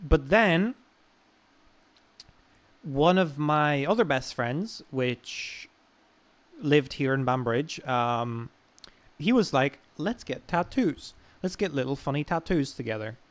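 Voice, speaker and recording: A man's voice: on the high side, animated, slow, long pauses. A young man with an Irish accent telling personal stories in a casual, informal, sweary chat as a podcast guest. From Ireland.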